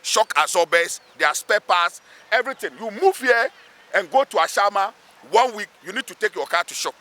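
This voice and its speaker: A man's voice: high-pitched, lively intonation, normal speed, sharp tone. A man with a Ghanaian accent speaking emphatically as a local assemblyman in an outdoor interview, repeating phrases for weight. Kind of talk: spoken interview, pleading advocacy. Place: outdoors beside a road underpass.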